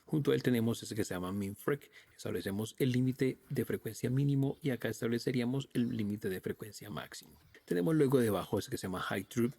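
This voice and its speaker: A man narrating a software tutorial in a methodical, step-by-step, informal way. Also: on the low side, creaky, husky. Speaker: audio software reviewer and presenter.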